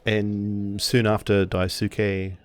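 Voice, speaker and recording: A man's voice: lowish voice, level tone, slow. A man casually narrating his characters' actions as a player in a tabletop game.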